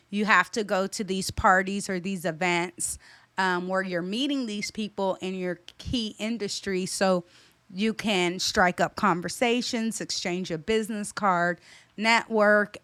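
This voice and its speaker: Smooth-voiced, emphatic young woman. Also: medium pitch, fairly bright tone, dry recording. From California. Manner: emphatic, repeats key points, direct. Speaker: stylist and boutique owner, guest. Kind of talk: interview answers, advice.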